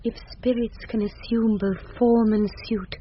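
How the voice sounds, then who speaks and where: An actress with a British accent playing an earnest young gentlewoman disguised as a boy in a radio Shakespeare play. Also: fairly high pitch, flat delivery, a little slow, a little hiss or hum, close to an everyday voice. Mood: hushed wonder. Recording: muffled old radio broadcast recording.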